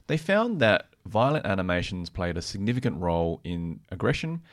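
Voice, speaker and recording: A man co-presenting a podcast, explaining research conversationally in a measured, explanatory manner. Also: sits low, sing-song. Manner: measured, explanatory, tentative when giving opinions. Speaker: podcast co-presenter, practising therapist.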